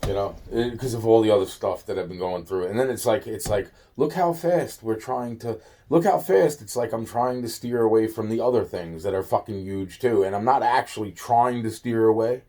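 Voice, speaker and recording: Rambling man with a mellow, low voice. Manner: halting, repetitive, trailing off and circling back; slangy and profane. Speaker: solo video maker. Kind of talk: rambling, informal monologue to camera.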